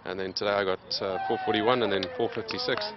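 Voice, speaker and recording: Interview subject: man in his late 20s with a low voice, talking steadily with lots of "um"s, in a dull, lo-fi outdoor recording. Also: noisy background. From Zimbabwe. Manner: steady, plain, many "um" pauses. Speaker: interviewed athlete. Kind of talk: casual interview answers.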